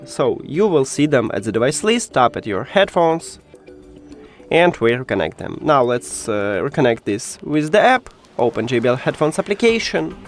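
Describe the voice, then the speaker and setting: A man hosting a step-by-step tech tutorial in an instructional way, with slightly non-native phrasing. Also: mid-range voice.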